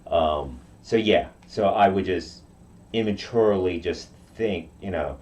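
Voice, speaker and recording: A man raised in Okinawa whose first language is Japanese, telling his story in a halting interview with many restarts. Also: deep voice, darkish tone. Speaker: guest.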